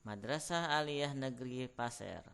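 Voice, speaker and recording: A man with an Indonesian accent, teaching beginner Arabic by reading short Indonesian phrases as prompts and pausing for answers. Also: mid-range voice, gravelly, fairly bright tone, smooth. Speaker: Arabic tutor. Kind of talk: prompting vocabulary drills.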